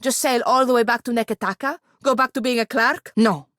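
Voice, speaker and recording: A woman's voice: a little high, fairly even intonation, a little fast, fairly bright tone, no echo, close to an everyday voice. A woman playing a proud, long-suffering wizard's apprentice in a video game, with formal wording and dry, biting sarcasm. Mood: frustrated, defiant. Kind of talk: video game character voice acting.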